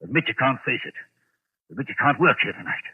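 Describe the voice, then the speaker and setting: A man acting an irritable, haunted gentleman in a 1940s radio suspense drama, imperious yet faltering and agitated. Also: mid-range voice, animated, fast talker, breathy edge. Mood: uneasy. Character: haunted, irritable gentleman of the house, badly shaken. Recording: muffled vintage 1940s radio broadcast.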